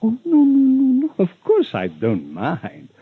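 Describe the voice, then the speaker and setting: An actor playing a rich, unctuous villain in an old radio drama, florid and over-polite with hesitant stammers and veiled menace. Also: male voice, high-pitched, wildly swooping pitch, a little slow, breathy edge, no echo, heavily acted. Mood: flustered, apologetic. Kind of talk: 1940s-50s radio drama acting. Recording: muffled old radio recording.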